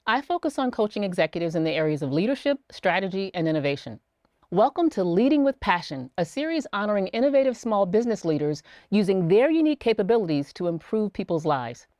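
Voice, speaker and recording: A woman hosting an interview series, reading a scripted intro and then asking questions in a measured, attentive way. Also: medium pitch, very animated, darkish tone, dry recording, clean studio sound. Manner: measured and attentive, frequent affirming murmurs. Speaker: interview host, executive coach.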